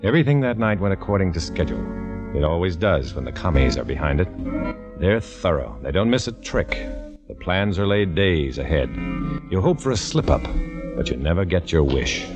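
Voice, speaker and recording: A man's voice: low-pitched, very animated, moderate pace, highs cut off, music in the background. An actor playing a tough undercover agent who narrates his own story in a 1950s radio drama, with terse, hard-boiled delivery. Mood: grim narration. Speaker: narrator and lead.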